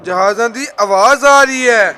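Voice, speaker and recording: A man's voice: very high-pitched, measured pace, background noise. A man playing an alarmed townsman of Lahore in a radio drama.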